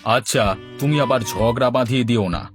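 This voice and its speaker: A man's voice: medium pitch, moderate pace, music in the background, lightly acted. A man voicing an easygoing, henpecked village husband in a cartoon, placating with a wry grumble. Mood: matter-of-fact. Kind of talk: cartoon dialogue acting.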